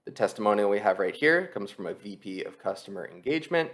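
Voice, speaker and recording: Low-voiced man presenting a tutorial. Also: lively intonation, fast. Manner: conversational, explains step by step. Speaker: copywriting instructor, presenter. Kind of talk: explanatory screen-share tutorial, semi-scripted.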